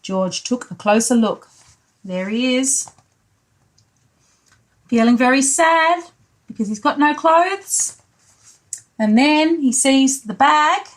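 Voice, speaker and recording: A woman's voice: high voice, animated, takes it slowly, long pauses. A woman reading a picture book to young children as a pre-school teacher, adding simple asides about the pictures. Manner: child-directed, with tag questions and commentary on pictures.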